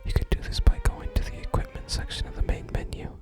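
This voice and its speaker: A person whispering soft, unhurried ASMR commentary while playing a video game and reading out its text. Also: loud music behind the voice.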